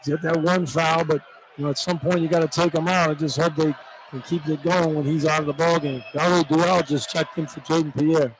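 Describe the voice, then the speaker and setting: High-voiced male basketball colour commentator. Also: fairly even intonation, a little fast. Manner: informal, plain-spoken, opinionated. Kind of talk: conversational live basketball analysis. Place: courtside in a college basketball arena. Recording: live arena broadcast with clear background noise. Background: noisy arena ambience.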